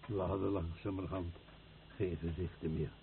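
An actor playing an exhausted, fearful survivor, a fisherman, speaking haltingly and repeating words in a radio play. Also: deep voice, little pitch movement, gravelly. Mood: haunted. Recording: muffled, noisy old radio play recording.

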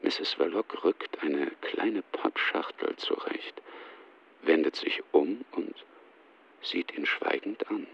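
A man narrates a radio drama in a deep, creaky voice. His delivery is measured and detached, and the sound is slightly dull. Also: noisy background. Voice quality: gravelly.

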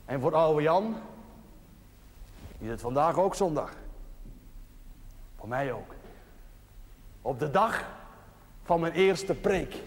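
An actor playing a plain-spoken, earnest village chaplain, preaching a dramatic sermon in short, deliberate phrases. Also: male voice, a little high, a little mellow. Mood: earnest, humble. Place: large reverberant interior. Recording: echoey church hall, noisy old TV audio.

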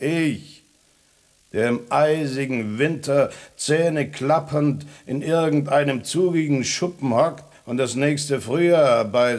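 A man's voice: mid-range voice, a little mellow, close to an everyday voice. A man playing a scornful, proud lone tomcat in a radio drama, speaking in a haughty, sardonic, mock-formal way.

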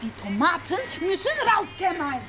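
An actress playing a brisk, bossy female fruit-shop keeper in a radio crime drama. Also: very high-pitched, very animated, heavy background noise. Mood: impatient. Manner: bossy, impatient. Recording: music playing behind.

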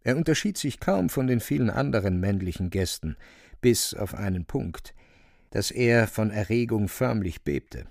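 A man's voice: lowish voice, gravelly, darkish tone, no room sound, studio quality. A man narrating a literary radio play in a scripted storytelling style.

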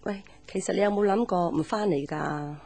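An actress voices a middle-aged mother in a radio drama. Her Hong Kong Cantonese is colloquial and offhand, with a faintly husky tone and a medium pitch. She sounds caring while brushing things off. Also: normal speed, a little mellow, lightly acted. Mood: resigned, caring.

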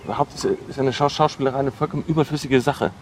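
A man's voice: mid-range voice, quick pace, lightly acted. A man plays an obsessive, hurt ex-boyfriend in a radio drama. His mid-range voice is insistent and accusatory, stumbling over repeated words in an improvised way. A faint noise sits behind him. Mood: scornful, agitated. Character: bitter, obsessive, wounded ex-boyfriend.

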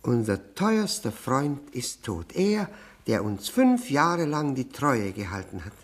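A bitter widower from a 1960s German radio play, in a medium-pitched male voice. He draws out his words with oily politeness. His self-abasement keeps tipping into sly, taunting insinuation. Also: wildly swooping pitch, husky, full character voice. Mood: mock mourning. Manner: mock-courteous, insinuating, with drawn-out words and needling questions.

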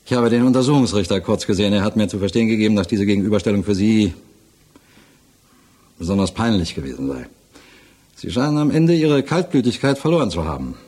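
An actor playing a world-weary defence lawyer in a 1960s German radio play, conversational and bluntly frank. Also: male voice, fairly low pitch, lively intonation, fast, darkish tone, husky. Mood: sober, resigned. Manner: conversational, hesitant asides, frank. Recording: mono 1960s radio recording.

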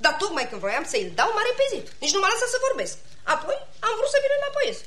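An outspoken village woman in a radio theatre comedy. Her voice is high and bright, with lively swings of pitch and a pert, emphatic way of speaking. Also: reverberant, slight background noise, exaggerated voice. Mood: forthright.

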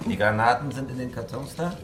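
An actor playing a guarded, cool-headed criminal with a terse, evasive way of talking in a radio crime drama. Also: male voice, mid-range voice, a little fast, natural voice. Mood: businesslike. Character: guarded, cool-headed male criminal posing as ordinary citizen.

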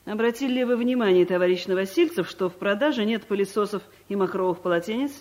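A woman's voice: medium pitch, quick pace, a little mellow, natural voice. An actress playing a domineering, middle-aged woman director who gives curt orders and lectures her staff, in a 1970s radio comedy. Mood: lecturing. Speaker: office director.

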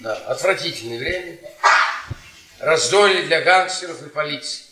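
An actor playing a bitter, worn-down middle-aged history professor, a sardonic storyteller speaking in deliberate, theatrical radio-drama style. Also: male voice, fairly high pitch, expressive, background noise, lightly acted. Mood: wistful, sardonic. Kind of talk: Soviet radio theatre acting, dramatic monologue. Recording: roomy stage-like echo, distant from microphone.

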